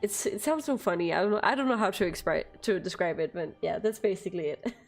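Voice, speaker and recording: A woman's voice: mid-range voice, quick pace, soft background music. A young woman from Denmark with a light Danish accent, chatting casually with viewers on a live stream and laughing often between phrases.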